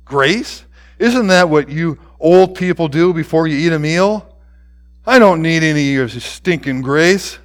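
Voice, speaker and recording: A man's voice: fairly high pitch, fast talker, few pauses, natural voice. A man voicing a defiant, emotional young boy rebel in a dramatic story reading. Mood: sneering. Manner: insolent, emotional, loud.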